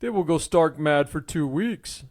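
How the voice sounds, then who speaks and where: Storybook adventurer, male, voiced by a man narrating, jovial and needling, lively, slightly high, dry recording. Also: measured pace, no room sound. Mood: amused. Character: hearty, teasing adventurer named Archibald. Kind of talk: audiobook character voice.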